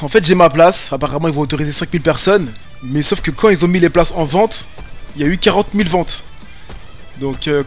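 Slangy young man streaming a game. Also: fairly high pitch. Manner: slangy, joking banter with chat. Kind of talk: casual gaming commentary. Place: home room, webcam.

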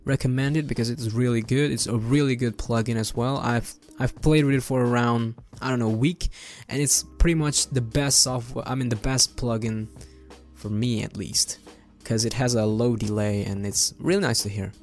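A young man giving an informal, easygoing and enthusiastic software tutorial, speaking close into a desk microphone. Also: medium pitch, steady tone, moderate pace, faint music behind. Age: young adult. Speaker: tutorial host. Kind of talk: casual screen-recorded software tutorial. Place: home bedroom setup indoors. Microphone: close desk microphone on boom arm.

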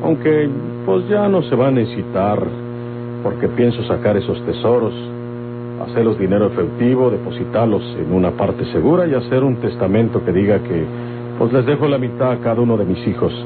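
An actor with a Mexican accent playing an aging, stubborn but calm outlaw father in a radio drama. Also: fairly low pitch, fairly quick, natural voice. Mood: firm, fatherly. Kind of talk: golden-age Mexican radio drama acting. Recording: tinny old radio recording, music behind.

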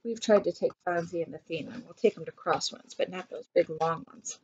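A woman's voice: fairly low pitch, brisk, breathy edge. A middle-aged woman reads a picture book aloud, adding explanatory asides and pointing things out for children. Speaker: read-aloud teacher. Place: small room at home. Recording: dull lo-fi webcam sound.

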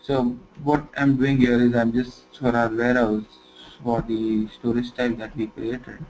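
A man teaching software configuration in a screen-recorded tutorial, talking conversationally and filling pauses with "you know". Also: medium pitch, flat delivery. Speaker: software trainer. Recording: slightly dull lo-fi screen recording with faint noise.

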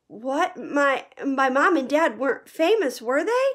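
A woman's voice: high voice, big pitch swings, a little slow, fairly bright tone, voice pushed up, exaggerated voice. Timid, stammering young boy, slightly raised voice as a woman reads him. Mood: confused. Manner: hesitant, stammering. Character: bewildered eleven-year-old boy, timid and eager. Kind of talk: storybook reading.

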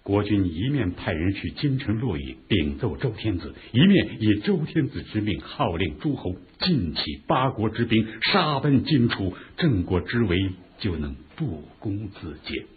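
A shrewd royal adviser with a very husky, low voice. He explains strategy in a deliberate, measured way, with lively intonation. It is a muffled radio drama recording. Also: heavily acted. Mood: calculating. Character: shrewd, measured chief minister advising his lord. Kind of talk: historical radio drama acting.

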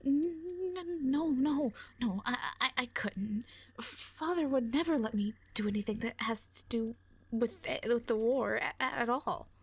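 A woman as a high-voiced, stuttering shy owl girl. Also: a little slow, slightly rough, no room sound. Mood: anxious. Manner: heavy stutter, hesitant. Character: shy, timid young female owl creature, anxious and bookish. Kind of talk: audio roleplay drama acting.

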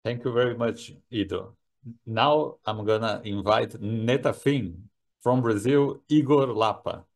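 A man's voice: mid-range voice, measured pace, creaky. A man hosting a webinar and introducing the speakers. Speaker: webinar host.

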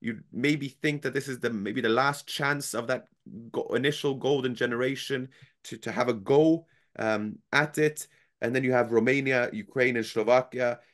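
A young man in his twenties co-hosting a casual football chat from home on a headset, talking in a measured, hedging way. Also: medium pitch. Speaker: football podcast co-host. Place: home room with football shirts. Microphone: headset on video call.

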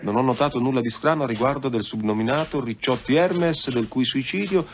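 A man's voice: medium pitch, brisk, close to an everyday voice. Man playing a hesitant police subordinate, dull recording. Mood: bureaucratic, hesitant. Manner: stammering, bureaucratic when reading aloud. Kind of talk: Italian radio drama acting. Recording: dull, noisy radio drama recording.

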